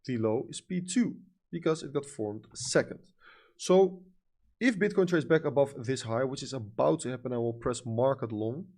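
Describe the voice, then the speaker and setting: Gravelly Dutch-accented man streaming casually. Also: mid-range voice, normal speed, a little mellow. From Netherlands. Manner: frequent fillers like "you know" and "like", blunt and profane. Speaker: livestream trader. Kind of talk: casual livestream chat reading comments.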